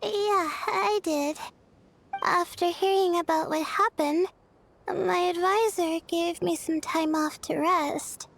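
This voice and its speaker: A woman voicing a sleepy, timid young female student in a video game, with a soft, hesitant way of talking. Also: very high-pitched, fairly even intonation, slow pace, creaky, slight background noise, exaggerated voice. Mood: tired, earnest. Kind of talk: video game character dialogue.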